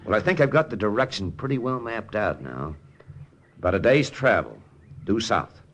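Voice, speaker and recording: A man's voice: on the low side, expressive, moderate pace, fairly bright tone. An actor playing a protective American soldier looking after his buddy, speaking colloquially and urgently in an old radio drama. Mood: soothing, firm. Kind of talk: 1950s radio drama acting. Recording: noisy old radio transcription.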